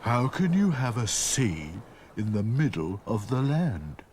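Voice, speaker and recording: A man voicing a slow, literal-minded and puzzled male rag doll in a cartoon. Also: mid-range voice, big pitch swings, darkish tone, a little hiss or hum.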